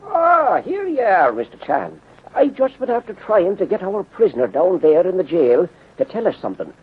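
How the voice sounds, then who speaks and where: An actor playing an earnest, folksy police sergeant with an Irish accent in a 1930s radio drama. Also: male voice, high-pitched, moderate pace, slightly rough, heavily acted. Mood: earnest, puzzled. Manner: folksy, hesitant, expressive. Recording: muffled, tinny 1930s radio recording.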